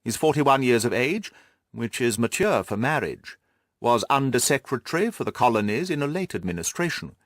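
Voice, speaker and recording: A male audiobook narrator reads literary prose. His voice sits at a medium pitch with lively, wide intonation, and the sound is dry and free of echo. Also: moderate pace.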